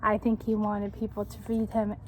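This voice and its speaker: A woman tutor reading a children's book aloud in an instructive way, pausing to put questions to young listeners. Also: mid-range voice, monotone, slight background noise, low-quality recording.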